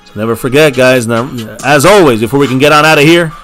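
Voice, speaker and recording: A man's voice: medium pitch, fast talker, fairly bright tone, soft background music. Hyped guy hosting a fan livestream from his little home bar, keeps calling chat baby and repeating the slogans. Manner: hyped, repeats catchphrases, calls viewers baby. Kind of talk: casual livestream sign-off with shout-outs. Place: small home bar setup.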